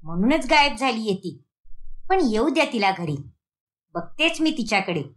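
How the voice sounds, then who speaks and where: A woman's voice: a little high, very animated, normal speed, voice pushed down. A woman performs a stern middle-aged cartoon mother. She scolds with emphasis and sounds strict and easily exasperated, the head of a household laying down rules. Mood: scolding. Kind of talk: cartoon moral story acting.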